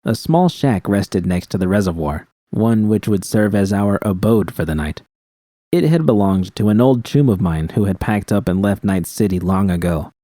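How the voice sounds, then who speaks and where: A man's voice: fairly low pitch, lively intonation, moderate pace, warm tone, dry recording, clean studio sound. A man reading scripted first-person fiction as a narrator.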